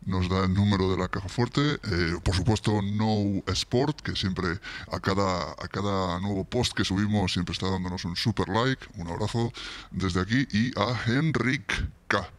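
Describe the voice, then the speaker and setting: A man's voice: measured pace, dry recording. A man in his forties with a European Spanish accent, chatting informally and jokily to camera through a voice modulator, close on the microphone. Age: 40s. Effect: voice modulator deepening the voice. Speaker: YouTube host, football fan channel. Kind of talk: casual chat to camera. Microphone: close vintage-style studio microphone.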